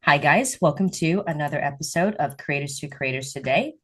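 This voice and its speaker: Woman podcast host, low voice, rambling chatty questions, many fillers. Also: brisk, breathy edge. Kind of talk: casual interview chat.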